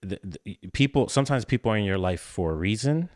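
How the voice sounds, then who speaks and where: A man's voice: lowish voice, lively intonation, fairly quick, a little mellow, no room sound, studio quality. A man co-hosting a podcast and working as a pastor, chatting casually, teasing his co-host and laughing often. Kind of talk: casual chat.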